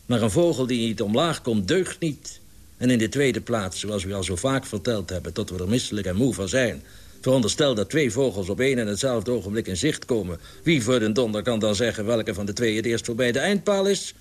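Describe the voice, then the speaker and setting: A man's voice: mid-range voice, lively intonation, a little fast, voice pushed up, lightly acted. A man voicing an exasperated, blunt pigeon club member in a storybook, arguing emphatically. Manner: argumentative, emphatic.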